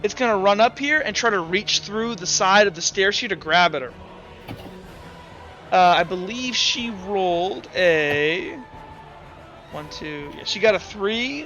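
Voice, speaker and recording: A young man with a high, bright voice narrates a tabletop game as its game master. He rambles loosely through the action with plenty of fillers. The audio is a bit muffled, and soft music plays in the background. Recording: slightly muffled, dull audio. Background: faint background music.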